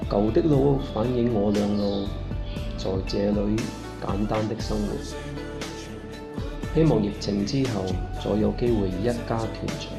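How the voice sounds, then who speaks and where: Slow gravelly man from Malaysia. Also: on the low side. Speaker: art teacher and clay artist. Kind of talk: prepared reading. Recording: roomy echo with music behind. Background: instrumental music.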